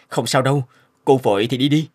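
An actor voicing a smooth, courteous male company director in a cartoon, speaking gently and suavely. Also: mid-range voice, moderate pace, a little hiss or hum, natural voice. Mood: easygoing. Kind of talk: animated cartoon dialogue. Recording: loud piano music under the voice.

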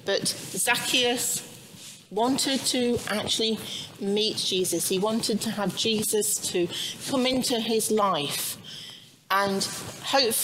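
Woman priest, chatty, noisy recording. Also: mid-range voice. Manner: chatty, halting delivery with asides. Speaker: priest leading a children's service. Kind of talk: informal children's sermon. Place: inside a church, standing before the altar. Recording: clearly audible background noise.

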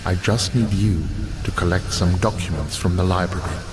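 An actor playing a solemn, earnest elf envoy in a video game, with formal, measured phrasing. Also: male voice, deep voice, steady tone, noisy background, lightly acted. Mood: formal, resolved.

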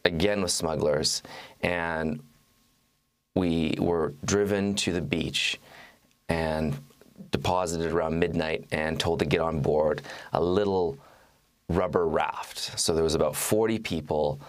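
A man in his thirties speaking as an interview guest, recounting his reporting in a measured way with halting restarts. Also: rumbling low, steady tone. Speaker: guest, journalist and author. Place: TV studio. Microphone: lapel mic.

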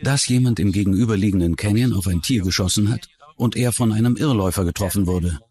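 A man's voice: on the low side, fast talker, dark tone, natural voice. A man voicing a plain-spoken, serious hunter as a witness in a dubbed documentary interview. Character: plain-spoken male hunter recounting a death. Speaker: voice-over of a witness.

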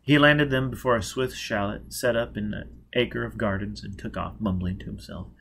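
A man in his thirties reading a novel aloud as an expressive narrator. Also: fairly low pitch, normal speed, vocal fry, husky. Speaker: audiobook reader. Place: small indoor space with a dark curtain backdrop.

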